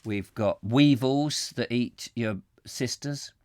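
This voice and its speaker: A slightly hoarse man hosting a comic live chat show, rambling whimsically and mocking himself as he reads out viewer comments. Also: mid-range voice, slow pace, a little mellow, no room sound, clean studio sound. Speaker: host.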